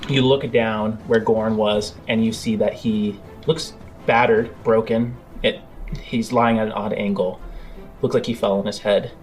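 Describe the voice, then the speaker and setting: A man improvising halting, descriptive narration as the game master of a tabletop role-playing game. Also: lowish voice, darkish tone, low-quality recording. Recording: music bed under the voice. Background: background music.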